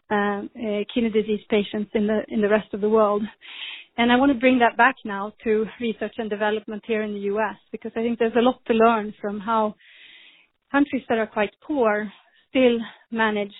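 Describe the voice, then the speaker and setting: A woman, speaking as a guest in a podcast interview, measured with frequent ums and restarts. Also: medium pitch, dry recording. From Sweden. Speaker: pharma executive guest. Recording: tinny phone-like line.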